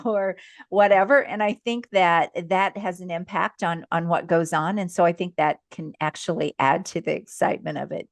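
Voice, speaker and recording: A woman with a low voice hosts an interview podcast. She is upbeat and encouraging, and her voice is recorded dry and close, with no room sound. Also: dry recording. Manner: upbeat, encouraging, affirms often. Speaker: podcast host. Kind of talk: interview hosting.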